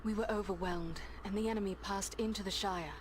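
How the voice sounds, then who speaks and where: An actress playing a solemn female warrior in a fantasy video game, speaking in a measured, formal manner. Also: medium pitch, fairly even intonation, background noise, close to an everyday voice. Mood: grave, troubled. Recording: voice under loud music.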